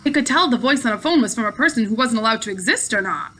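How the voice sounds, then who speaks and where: A woman's voice: fairly high pitch, animated, fast, a bit bright. A woman narrating a children's story aloud expressively, with the occasional stumble and reread. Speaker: teacher reading aloud to pupils.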